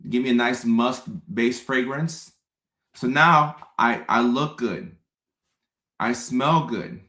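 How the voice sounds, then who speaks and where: A man in his thirties from Ghana, hosting a relaxed livestream and chatting with viewers, often repeating his phrases. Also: mid-range voice, takes it slowly. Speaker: host. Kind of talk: casual livestream chat.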